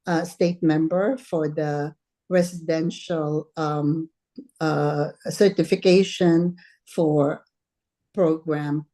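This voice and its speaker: Mellow, low-voiced woman committee chair. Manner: casual, with frequent filler sounds. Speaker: committee chair and family childcare provider. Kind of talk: self-introduction at a video meeting. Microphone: video call.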